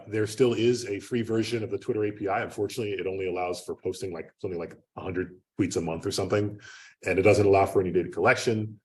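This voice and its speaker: A man giving an unscripted academic lecture in a loud, projecting voice, talking conversationally with lots of fillers and restarts. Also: on the low side. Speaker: guest lecturer. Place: lecture room. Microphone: speaking away from the microphone. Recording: distant room mic.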